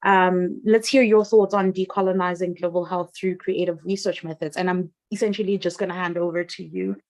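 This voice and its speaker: Gravelly-voiced woman moderating a panel. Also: lowish voice, steady tone, fairly quick, a little mellow.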